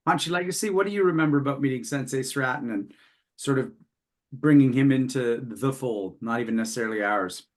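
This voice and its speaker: A man's voice: medium pitch, fairly quick. Man, podcast host, mid-range voice, casual interviewing.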